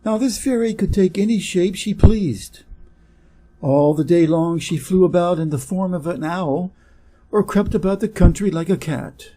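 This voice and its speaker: A man with an American accent reads a fairy tale in a measured, clear way for English learners. His voice sits a little high for a man but sounds very mellow and dark in tone. There is a soft huskiness to it. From Wisconsin, USA. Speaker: reader. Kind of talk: storybook reading.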